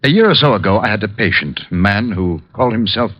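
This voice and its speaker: Grave, worried dentist, husky and animated, vintage radio play. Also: male voice, lowish voice, fast talker, dry recording. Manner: hesitant, grave. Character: anxious, hesitant male dentist fearing for his life. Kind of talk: 1940s radio drama acting. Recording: very thin, phone-like old radio recording.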